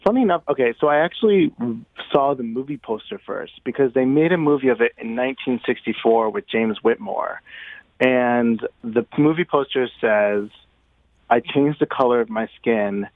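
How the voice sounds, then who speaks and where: A man being interviewed on the radio, talking conversationally and thoughtfully, halting as he searches for words. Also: medium pitch, no room sound. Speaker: interview guest, playwright. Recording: narrow, phone-like line.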